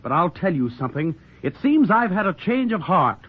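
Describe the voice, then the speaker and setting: An actor playing a plainspoken, wounded young drugstore owner in 1940s radio drama style. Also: male voice, high-pitched, big pitch swings, moderate pace, slightly rough, full character voice. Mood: bitter, reproachful. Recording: muffled, tinny 1940s radio recording.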